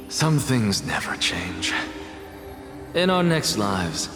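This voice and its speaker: An actor playing a proud, sardonic professor in a fantasy game, dry and precise yet quietly fond. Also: male voice, on the low side, very animated, drawn-out words, full character voice. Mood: wry, fond. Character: proud, sardonic male professor, dryly fond of his pupil. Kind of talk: scripted fantasy game voice acting. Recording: long reverb, music behind.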